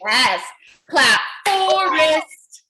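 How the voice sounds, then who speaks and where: Female early-years teacher, high and very lively pitch, animated read-aloud style, encouraging. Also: very slow, very noisy. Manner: animated, encouraging praise and questions; repeats children's answers back. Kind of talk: interactive children's read-aloud lesson.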